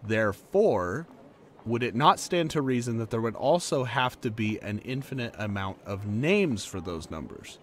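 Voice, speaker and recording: A man's voice: mid-range voice, lively intonation, normal speed, slight background noise, natural voice. A young man acting a laid-back, playful working-class boyfriend in a conversational roleplay audio drama, informal and earnest. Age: young adult.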